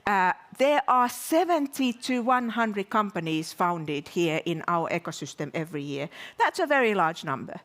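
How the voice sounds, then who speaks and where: A woman speaking as a university provost, giving a welcome speech to an event audience with frequent pauses and repeated words. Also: on the low side, sing-song, a bit bright. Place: live event venue at a university.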